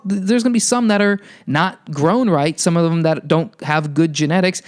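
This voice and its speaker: Man hosting profane, rambling health talk. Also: clear, resonant tone. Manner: sarcastic, profane, full of fillers and restarts; puts on silly high voices for quoted people. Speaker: podcast host. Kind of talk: casual rambling monologue.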